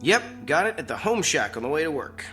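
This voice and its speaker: Troubled office guy in a radio play, bright edgy voice, dry self-deprecating delivery. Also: on the high side, sing-song, brisk, soft background music. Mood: wry. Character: troubled, wry, self-doubting businessman. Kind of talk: audio drama acting.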